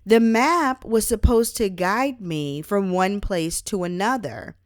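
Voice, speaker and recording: A woman's voice: medium pitch, slow, no echo, clean studio sound. A woman reading her own book aloud as scripted audiobook narration.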